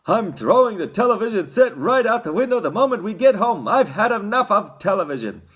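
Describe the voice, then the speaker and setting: A performer voicing a sharp-tempered, indignant father in a storybook, blustering and shouting. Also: mid-range voice, animated, measured pace, pitched above the performer's own voice. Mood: angry.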